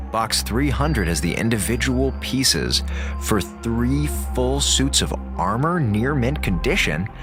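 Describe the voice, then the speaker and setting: An actor playing a wisecracking, easily distracted radio broadcaster on a space station, rambling casually and sarcastically in an audio drama. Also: male voice, lowish voice, full character voice. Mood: incredulous. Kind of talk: audio drama, chatty monologue to listeners.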